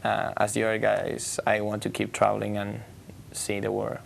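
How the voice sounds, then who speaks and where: Teen guy, low gravelly voice, hesitant english, faint rumble behind. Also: monotone, normal speed. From Argentina. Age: teens. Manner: hesitant, searching for words. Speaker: exchange student. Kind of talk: studio interview. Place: TV studio.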